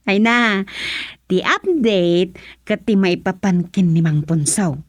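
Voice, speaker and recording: A woman's voice: mid-range voice, no room sound. A woman playing a gleeful village gossip in a comedic radio drama, teasing and giggly as she draws out her tales. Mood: gossipy, amused. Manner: giggly, teasing, drawn-out storytelling.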